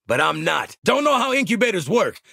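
An American man voicing a gruff, cocky mercenary sharpshooter for a video game, with a gravelly, husky voice and a brash, sardonic, streetwise manner. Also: high voice, big pitch swings, fairly quick, bright, forceful tone, dry recording, studio quality, heavily acted. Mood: urgent, commanding. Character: gruff, cocky, exasperated male mercenary sharpshooter squad leader. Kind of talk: video game character voice lines. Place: studio voice booth, dry.

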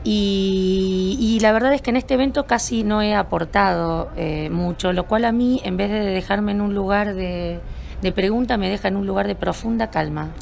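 A woman from Argentina answering interview questions at an event, speaking in a measured, self-observing and wordy way. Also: mid-range voice, vocal fry, a little mellow, a little hiss or hum. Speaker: event attendee being interviewed.

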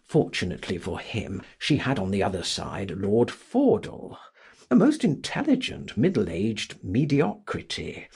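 A man reading a literary audiobook as a dramatic narrator, in a theatrical, expressive and deliberate manner. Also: on the low side, vocal fry, breathy edge.

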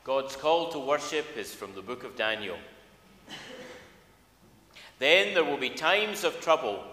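An older man leading a church service as minister, measured and projecting to a congregation in a large church. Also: fairly high pitch, bright, forceful tone. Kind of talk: church service welcome and scripture reading. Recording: echoey church hall, background noise.

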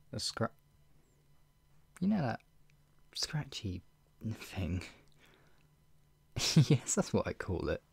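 An actor performing an intimate roleplay as a doting, playful, teasing lover, murmuring with soft hums, giggly hesitations and drops into whispers. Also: medium pitch, dry recording, full character voice. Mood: giggly, coaxing. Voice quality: breathy, husky, often dropping into whispers. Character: doting, playful, flustered lover tenderly caring for an ill pet-named partner.

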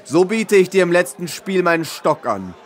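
A man acts a stately, tired merchant at a fairy-tale inn. His voice sits somewhat high and moves expressively up and down. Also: very animated, moderate pace. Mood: weary bargaining. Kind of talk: audio fairy tale acting.

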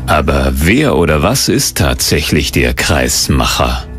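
A man's voice: rumbling low, sing-song, a little slow. A man reading scripted documentary narration in a suspenseful, portentous manner. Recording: music bed behind narration.

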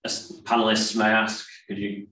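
Low, flat-voiced man hosting a webinar. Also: moderate pace. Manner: hesitant, reads out questions with fillers. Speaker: webinar host reading audience questions. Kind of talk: moderating a Q&A. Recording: roomy, distant video-call audio.

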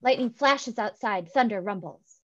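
Young woman narrating, higher voice, dull audio. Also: a little slow, a bit bright. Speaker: stage-direction reader. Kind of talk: scripted narration. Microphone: headset on video call. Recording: dull, lo-fi video-call audio.

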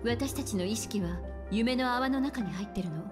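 A woman's voice: on the high side, normal speed, close to an everyday voice. An actress playing a composed, decisive woman companion in a video game, cool and matter-of-fact. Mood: composed, assured. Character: composed, decisive adult female traveller companion. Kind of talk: Japanese video game voice acting. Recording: music under the voice.

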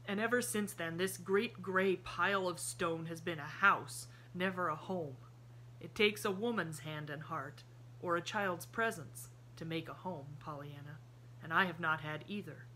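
A woman voicing an earnest, lonely gentleman. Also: lowish voice, unhurried, slight background noise, rough recording. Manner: earnest and pleading. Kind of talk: audiobook character voice.

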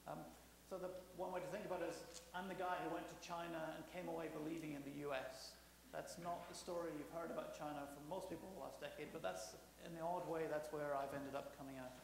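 A man in his forties giving a public lecture as guest speaker, slightly hoarse from a cold, fluent and quick with frequent restarts. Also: a little high, steady tone. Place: lecture hall. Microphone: podium microphone. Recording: echoey hall with faint hum.

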